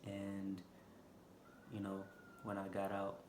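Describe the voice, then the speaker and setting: A man with a Haitian accent, vlogging unscripted from a stool indoors, talking slowly and haltingly with lots of fillers. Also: low-pitched, monotone. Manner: slow, halting, many fillers. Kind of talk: unscripted casual vlog talk. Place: indoor room, seated on a stool. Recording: echoey room with background noise.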